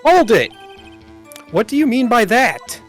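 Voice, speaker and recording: Man playing a bold, animated young lawyer. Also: very high-pitched, measured pace, faint music behind, voice pushed up, exaggerated voice. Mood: challenging. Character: earnest, bold young male defense lawyer. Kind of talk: amateur video game character dub.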